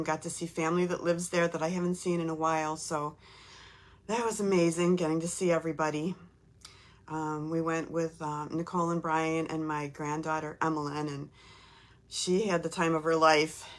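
A woman's voice: on the low side, fairly bright tone. Chatty woman with a slight cold. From Texas. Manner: chatty, upbeat, lots of "um"s. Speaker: craft demonstrator hosting a live stream. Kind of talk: casual live craft demo. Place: home craft room.

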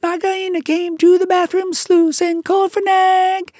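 A woman puts on a giddy little male songbird with a piercing, sing-song squeal. He is loud and shrill, gushing praise. The room is dry and close. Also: little pitch movement, a little slow, dry recording. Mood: exultant. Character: giddy little male tailorbird, boastful singer.

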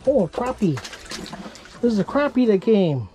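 Man, slow unhurried talk, mellow tone, chuckles, small ice fishing tent, action cam. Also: high-pitched. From Minnesota. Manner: relaxed, chuckling, unhurried commentary. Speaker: fishing vlogger. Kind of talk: casual narration while ice fishing.

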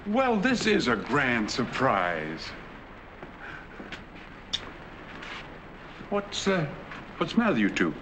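Animated, genial, sly American rich man. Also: mid-range voice, measured pace, noisy background. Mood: hearty. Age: middle-aged. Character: wealthy, genial husband with sly, menacing intellect. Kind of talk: 1940s film noir acting. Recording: 1940s film soundtrack with background music.

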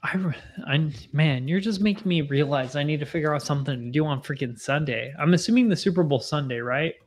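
A man in his mid-twenties hosting a casual live stream, rambling and self-deprecating as he chats with viewers from a home bar on a boom mic. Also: brisk. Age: 20s. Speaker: livestream host. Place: home bar with bottle shelves, indoors. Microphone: boom mic in front of face.

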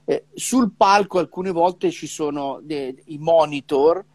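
A middle-aged man with a fairly high voice talks casually over a video call. The sound is close and dry, and his speech halts and repeats syllables as he looks for the next word. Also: a little mellow, no room sound. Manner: halting, repeats syllables as he searches for words. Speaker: professional trumpeter. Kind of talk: casual conversation about music practice. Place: indoor room, remote video call. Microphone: wired earphone mic on a video call.

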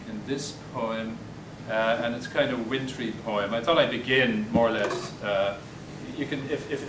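An older man giving a poetry reading in a bookstore, hesitant and halting between poems, recorded from a distance. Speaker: poet. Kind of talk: poetry reading with spoken asides. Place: bookstore, indoors, at a podium. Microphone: distant camera mic. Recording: echoey room, distant mic, background rumble.

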